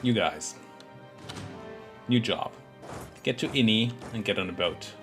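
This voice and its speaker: A man with a cold, his voice a bit hoarse, casually commenting on his strategy game as he plays, thinking aloud with fillers and restarts. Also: lowish voice, animated, a little slow, music in the background. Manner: muttering thoughts aloud, self-talk with fillers and restarts. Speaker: let's play gaming commentator. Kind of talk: casual live gameplay commentary.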